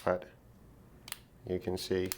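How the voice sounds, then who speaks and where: A man casually narrating a hands-on repair demonstration, thinking aloud in an unhurried way as he works. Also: low voice, monotone, slight background noise. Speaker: repair video host.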